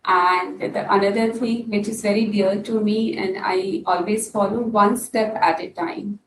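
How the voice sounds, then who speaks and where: A woman guest speaking in a halting way, with many pauses and fillers. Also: medium pitch, steady tone. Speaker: podcast guest. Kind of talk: casual interview talk. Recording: distant, echoey room with noise.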